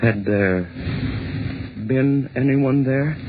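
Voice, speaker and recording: Casting: haunted accused murderer, 1940s radio horror, melodramatic, halting dread, noisy dull vintage recording. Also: male voice, mid-range voice. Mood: frantic, shaken. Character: haunted, frightened male accused murderer telling his story. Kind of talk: 1940s radio horror acting, first-person narration.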